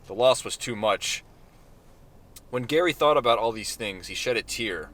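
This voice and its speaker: A man reading his own short story aloud in a deadpan manner, with self-mocking asides. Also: medium pitch, normal speed.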